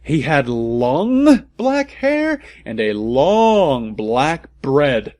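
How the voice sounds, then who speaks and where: A man's voice: mid-range voice, big pitch swings, drawn-out words, darkish tone, dry recording. A man giving a comedic, theatrical and mock-serious reading of amateur fan fiction as its narrator. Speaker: narrator and commentator.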